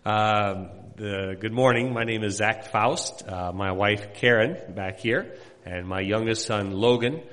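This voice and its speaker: A middle-aged missionary pastor from Indiana addresses a congregation in a folksy, meandering way, filling his pauses with "uh". His voice sits fairly low, and it carries through the long echo of a big hall. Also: a bit bright. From Indiana, USA. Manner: folksy, rambling, many "uh" pauses. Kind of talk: sermon introduction to a congregation.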